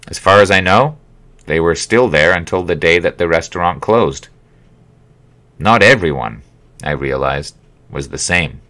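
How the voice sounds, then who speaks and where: A man narrating his own memoir as an audiobook in a measured, wry storytelling manner. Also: sits low, bright, forceful tone.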